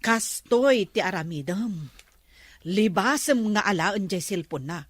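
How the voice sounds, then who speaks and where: A woman's voice: medium pitch, animated, unhurried, no room sound. A woman with an Ilocano accent, playing a gossipy friend who gives advice in a comedy drama. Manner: gossipy, knowing.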